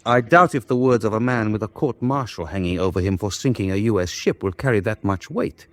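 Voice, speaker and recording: An actor playing a bitter, insubordinate submarine first officer in a radio drama, speaking in a cold, clipped, insinuating way. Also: male voice, lowish voice, fairly quick, close to an everyday voice.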